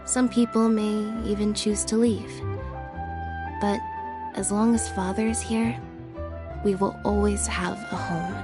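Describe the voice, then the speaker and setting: A calm, devoted young woman, acted by a woman in video game dialogue. She speaks deliberately and with gentle seriousness at a middle pitch. Soft music sits faintly behind her. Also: close to an everyday voice. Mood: devoted, earnest.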